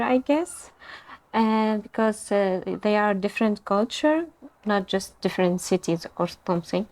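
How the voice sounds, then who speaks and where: Slow, hesitant young woman from Syria. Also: mid-range voice, a little mellow. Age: young adult. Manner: halting, searching for words. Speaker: podcast guest. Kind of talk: casual chat.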